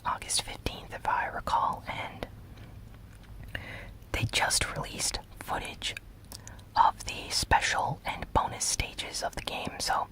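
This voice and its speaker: An ASMR gaming news host speaking in a breathy, unvoiced whisper, soft, unhurried and conversational. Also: rough recording.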